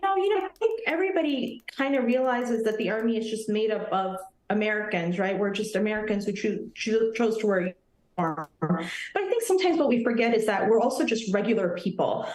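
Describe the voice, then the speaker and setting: A woman's voice: mid-range voice, expressive, brisk, darkish tone, roomy sound, rough recording. A woman in her thirties from Nepal, an Army officer answering questions in an earnest, friendly way on a morning TV show. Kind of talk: morning TV interview.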